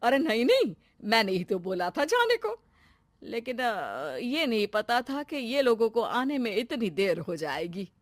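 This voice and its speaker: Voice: medium pitch, very animated, measured pace, no room sound. An actor playing a fussy but caring older mother-in-law in a cartoon family drama. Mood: protesting gently.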